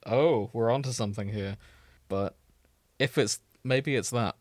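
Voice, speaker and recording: A man's voice: medium pitch, a little slow, a little mellow, no echo. A man hosting a podcast, talking in a casual, joking, conversational way. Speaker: podcast host. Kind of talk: casual comedic chat.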